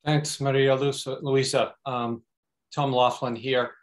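Man with mid-pitched, halting delivery. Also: little pitch movement. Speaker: poet and former prison teacher at open mic. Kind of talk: informal spoken introduction. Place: online video call.

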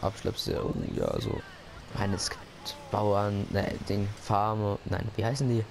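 A man's voice: fairly low pitch, fairly even intonation, unhurried, creaky. A man giving rambling, joking commentary while playing a driving game. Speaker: gaming YouTuber. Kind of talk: casual let's-play commentary. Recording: noisy gameplay recording.

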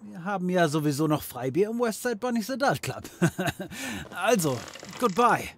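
An actor playing a flippant, sarcastic private detective in a comedy audio drama, joking and theatrical. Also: male voice, high-pitched, big pitch swings, unhurried, fairly bright tone, a little hiss or hum, exaggerated voice. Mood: wry, exasperated.

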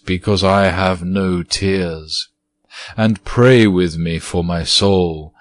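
A man reading aloud, voicing an old withered male ghost who speaks in a low, dreamy, mournful way. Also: no echo, exaggerated voice.

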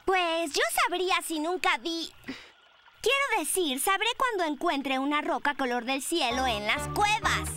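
Bossy, high-pitched little girl triceratops. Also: lively intonation, unhurried, pushed and bright, faint music behind, exaggerated voice. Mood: stubborn, dismissive. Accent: Latin American. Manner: know-it-all, dismissive. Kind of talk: Spanish cartoon dub acting.

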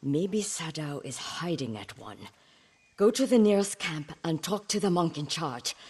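A woman's voice: deep voice, animated, a little slow, darkish tone, slightly rough, heavily acted. Fierce, vengeful older noblewoman warrior. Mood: bitter resolve. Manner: intense, bitter, commanding. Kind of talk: dramatic video game acting.

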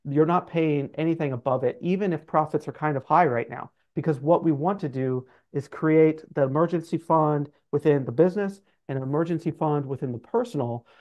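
Higher-voiced man explaining, slightly dull audio. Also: brisk, hardly any pauses, darkish tone. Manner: informal, hedging with like and sort of. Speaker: guest, financial adviser. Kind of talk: conversational explaining. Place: office room, indoors. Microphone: video call. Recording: dull video-call audio.

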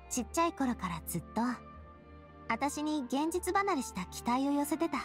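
A spirited young lady from an anime game, with a high voice. She talks casually and expressively, breezy yet earnest and kindhearted. Music runs behind her. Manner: casual, feminine, expressive storytelling. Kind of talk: Japanese anime game voice acting.

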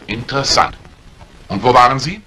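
An actor playing a stern, suspicious police inspector in a 1950s German radio crime drama, probing and sardonic. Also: male voice, medium pitch, animated, moderate pace, pushed and bright, rough recording. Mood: accusing. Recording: old radio play recording with faint hiss.

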